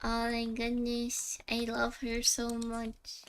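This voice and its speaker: A woman's voice: on the high side, monotone, slow, soft background music. A woman livestreaming a game with casual chat, gushing and giggly as she reacts aloud. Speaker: streamer.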